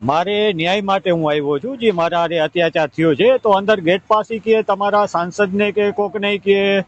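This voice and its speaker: A man's voice: sits high, steady tone, normal speed. A man with a Saurashtra Gujarati accent pleading insistently and repeating his request on a recorded phone call. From Gujarat, India. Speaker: caller seeking help.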